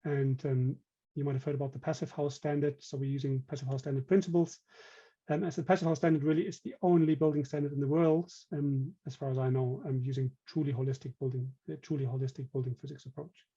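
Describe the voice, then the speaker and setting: A man's voice: mid-range voice, level tone, fast, dark tone, dull audio. German-accented man presenting, hesitant. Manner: hesitant, many ums and restarts. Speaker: company director presenting. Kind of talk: webinar presentation.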